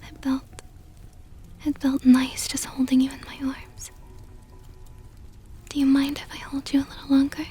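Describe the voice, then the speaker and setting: A woman voicing a possessive, doting vampire countess in an intimate ASMR roleplay, whispering softly and slowly with a tender, teasing, coaxing manner. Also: sits high, completely flat delivery, faint music behind, natural voice. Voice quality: breathy, soft whisper. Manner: slow, coaxing, affectionate murmur.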